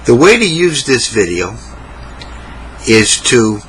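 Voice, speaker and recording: A man teaching English in a comic monologue, speaking slowly and clearly. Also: medium pitch, sing-song, fairly bright tone, a little hiss or hum, rough recording. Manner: slow, deliberate, exaggerated clarity.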